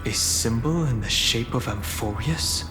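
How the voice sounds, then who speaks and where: A man playing a cool, analytical scholar in a game, musing in a measured, self-assured and articulate way. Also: medium pitch, natural voice. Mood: intrigued, pondering. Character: cool, analytical scholar pondering ancient secrets. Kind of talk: dramatic game monologue. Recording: hall reverb with music behind.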